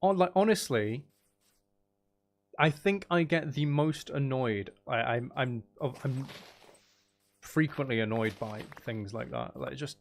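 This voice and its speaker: A man's voice: mid-range voice, slow pace, creaky, long pauses. A man hosting a livestream, chatting casually in a sarcastic, exasperated ranting manner. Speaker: livestream host.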